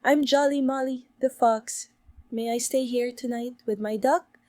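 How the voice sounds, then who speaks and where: Woman voicing a sly, high-pitched storybook fox. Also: fairly even intonation, unhurried, a little mellow, dry recording, natural voice. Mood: sweet pleading. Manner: melodramatic, falsely sweet pleading. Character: sly, greedy female fox, sweetly pleading and wailing.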